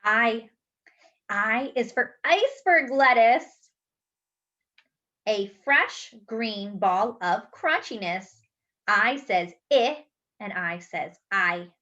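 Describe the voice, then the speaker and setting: A woman with a high, swooping voice reads a picture book to small children. She goes slowly, stressing words carefully and slipping in playful asides. The recording is slightly dull and lo-fi. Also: animated, pushed and bright. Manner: exaggerated, deliberate enunciation with playful asides to children. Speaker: children's read-aloud host, teacher-like. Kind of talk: animated picture-book read-aloud for young children.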